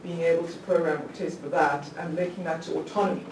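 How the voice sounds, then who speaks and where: A woman's voice: lowish voice, fairly even intonation, quick pace. A woman in her thirties, a law lecturer, asking the panel a long question from the floor, chatty, with many restarts and little laughs at herself. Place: conference hall. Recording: echoey hall, distant mic, faint noise.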